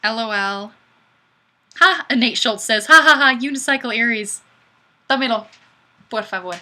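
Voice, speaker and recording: A woman hosting a casual live stream, chatting and reading comments in a goofy, animated, exclamatory way. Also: fairly high pitch, normal speed. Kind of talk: casual chat, reading viewer comments aloud.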